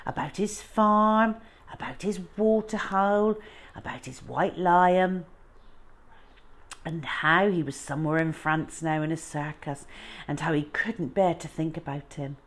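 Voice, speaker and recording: A woman hosting a children's story time, narrating expressively with deliberate, dramatic phrasing. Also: on the low side.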